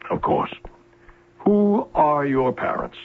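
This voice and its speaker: A gravelly older scientist, raspy and theatrical on a muffled vintage broadcast. He is a proud, embittered schemer who gives orders deliberately, his pitch swooping widely. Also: expressive, slight background noise. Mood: proud, probing. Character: bitter, proud older male inventor and uncle, coldly scheming. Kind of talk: old-time radio drama acting. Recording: lo-fi, muffled old radio broadcast.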